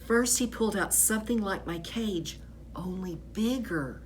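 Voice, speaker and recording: A woman reading a children's book aloud as expressive narration, with animated delivery and drawn-out emphasis on key words. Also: medium pitch, a little hiss or hum.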